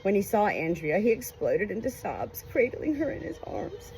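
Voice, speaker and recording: A woman reading a book and reflecting on it in an expressive, earnest, emotional way. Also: mid-range voice, normal speed, faint music behind, poor audio. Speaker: audiobook reader. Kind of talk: expressive book narration with personal commentary. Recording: clear background noise, rooster crowing.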